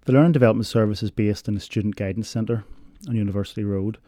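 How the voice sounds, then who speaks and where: Guy explaining student support, flat low voice, lots of ums, dry sound. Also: no room sound. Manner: measured, explanatory, frequent ums. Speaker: university learning support staff. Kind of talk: informational interview answers.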